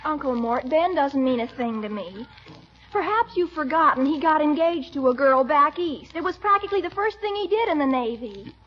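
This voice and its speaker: A woman's voice: high voice, animated, moderate pace, noisy background. A woman playing a moody, touchy teenage niece, in 1940s radio acting style, on a dull old radio recording. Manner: impatient, touchy.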